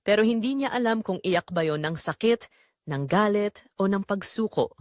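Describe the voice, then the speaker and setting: Animated Filipino woman narrating a dramatic story. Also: mid-range voice, normal speed, no echo, clean studio sound.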